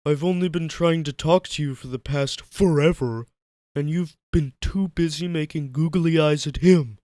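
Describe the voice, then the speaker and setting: A man's voice: on the high side, normal speed, mellow, no echo, studio quality, pitched above the performer's own voice, close to an everyday voice. Cartoon starfish best friend played by a man: exasperated but caring, plaintive, voice pushed upward. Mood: annoyed. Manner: plaintive, reproachful. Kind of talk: dramatic fan fiction reading.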